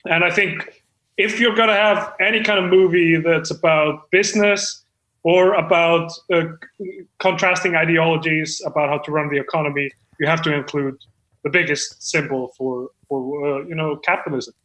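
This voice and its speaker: High, bright, hesitant man reading a script. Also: steady tone, moderate pace, reverberant, low-quality recording. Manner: hesitant, stumbling, apologetic. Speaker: amateur screenwriter reading his script. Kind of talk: reading stage directions aloud, live comedy table read.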